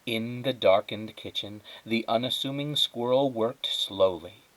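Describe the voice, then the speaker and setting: A man reading a children's story aloud as a teacher would, with dramatic, measured phrasing and emphatic pauses. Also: mid-range voice, fairly bright tone, rough recording. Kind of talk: expressive children's storybook narration.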